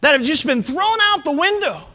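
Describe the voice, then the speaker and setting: A man's voice: very high-pitched, animated, normal speed, bright, forceful tone, in a large hall. A man in his late thirties, preaching a sermon as pastor, impassioned and conversational with asides to the congregation. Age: 30s.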